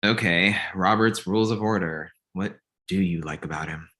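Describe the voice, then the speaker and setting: Blunt, snobbish young man played by an actor, low-pitched, Ivy League type, comedy table read. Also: moderate pace, pushed and bright.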